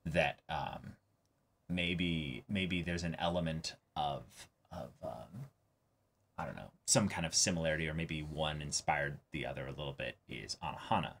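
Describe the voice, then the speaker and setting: A man with a very deep yet bright, forceful voice. He talks casually as a reaction-show co-host, working out his thoughts aloud and hedging along the way. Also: normal speed, vocal fry, a little hiss or hum. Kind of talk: casual discussion of an anime episode.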